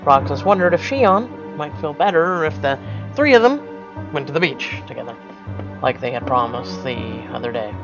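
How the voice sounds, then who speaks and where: A man's voice: fairly high pitch, big pitch swings, normal speed, highs cut off. A man reading a scripted story recap as a narrator. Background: music.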